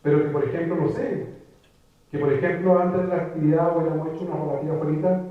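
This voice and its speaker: A man's voice: fairly high pitch, fairly even intonation, fast. A man giving a reflective talk to a live audience in a lecture room, often restarting and repeating words as he thinks. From Chile. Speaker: writer giving a public talk. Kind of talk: conversational lecture. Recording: echoey phone-like room recording from far off.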